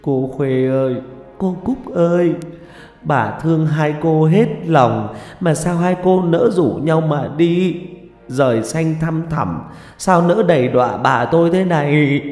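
A man's voice: slow, darkish tone. A distraught household cook, played by a male narrator wails in a raised, sobbing voice. Her lament swings up and down in heavy reverb, with faint music behind, as in a dramatic audiobook. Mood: grieving lament. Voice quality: high-pitched, sobbing. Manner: wailing lament.

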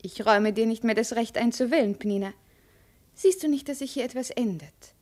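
Actress as high-voiced, imperious young princess. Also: female voice, fairly quick, a little mellow, close to an everyday voice. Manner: commanding, crisp, haughty. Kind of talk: 1950s German radio play acting.

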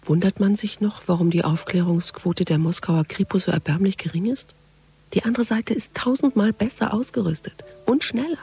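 A creaky, hushed female voice over music. She is a wry police detective in a radio crime drama, reasoning through a case in a reflective inner monologue. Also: lowish voice.

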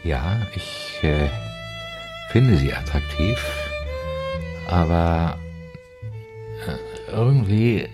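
A reserved older man in a radio play, very low and slow of voice. He feels his way through halting, understated phrases, with music behind. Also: sing-song, exaggerated voice. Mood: hesitant. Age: 60s or older. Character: reserved, hesitant senior man at speed dating.

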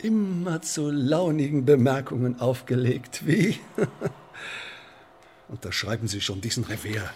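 An actor playing a menacing, sardonic Elizabethan English sheriff in a German radio drama, officious and mock-polite, with deliberate emphasis. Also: male voice, medium pitch, expressive, a little mellow, slight background noise. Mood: officious pressing.

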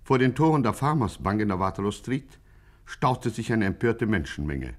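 Deadpan, lower-voiced male narrator. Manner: deadpan, measured. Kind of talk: scripted satirical radio play narration.

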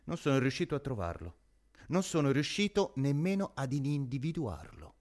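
A man acting in an absurdist radio play as a vague, plodding newspaper seller, halting, repetitive and deadpan. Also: medium pitch. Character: plodding, vague male newspaper seller making small talk. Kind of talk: absurdist radio drama dialogue.

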